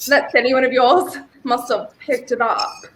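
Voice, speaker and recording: A woman's voice: sits high, fairly even intonation, normal speed, a bit bright, slight background noise. A young woman playing an earnest, prickly romantic heroine in a 1930s-style radio thriller. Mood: grudgingly fond. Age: young adult. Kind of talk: 1930s radio play acting.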